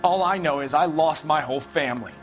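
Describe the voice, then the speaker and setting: Aggrieved firebrand suspect, male actor; defiant, higher-pitched, crime game drama with faint music. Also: fairly quick, natural voice. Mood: bitter. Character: bitter, defiant male political radical suspect. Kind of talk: dramatic video game interrogation acting.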